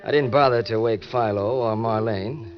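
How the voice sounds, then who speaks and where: A man's voice: medium pitch, normal speed, natural voice. An actor playing a wisecracking, cynical ex-convict drifter, with an American accent and a wry, slangy tough-guy delivery in 1940s radio style. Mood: cool, sardonic. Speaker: narrator and lead. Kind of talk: 1940s hardboiled radio drama acting. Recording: muffled old-time radio recording with music.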